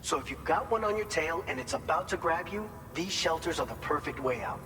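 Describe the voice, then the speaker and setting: A man's voice: on the high side, animated, a little fast, sharp tone, soft background music. A man playing an upbeat, chatty distribution center staffer, briefing a courier in an informal, explanatory way in a video game cutscene. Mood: enthusiastic pitch. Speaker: briefing officer. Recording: noisy ambience, long hall reverb.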